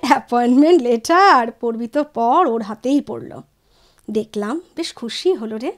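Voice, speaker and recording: A woman's voice: a little high, animated, normal speed, close to an everyday voice. A woman playing a playful, teasing expatriate reunited with old friends in an audio drama, girlish and coaxing. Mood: chatty, reflective. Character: playful Bengali woman back from London meeting old college friends. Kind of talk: Bengali audio drama acting.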